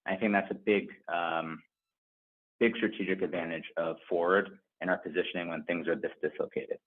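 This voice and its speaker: A man's voice: low-pitched, steady tone, moderate pace. A male company executive giving unscripted answers on an earnings conference call. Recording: narrow, phone-like conference call line.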